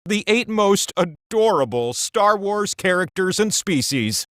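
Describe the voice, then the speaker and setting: Quirky video game quiz host: high-pitched, theatrical, playful, opinionated, with very animated inflection. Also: male voice, unhurried. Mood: playful, coaxing. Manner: theatrical, playful, with exasperated asides. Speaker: game show host character. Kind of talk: quiz hint narration for a party video game.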